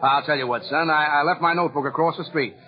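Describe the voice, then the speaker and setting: An actor playing a jolly, flustered store Santa who hesitates and repeats himself, in 1940s radio style on a muffled old recording. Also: male voice, fairly high pitch, fairly even intonation, fast talker, lightly acted.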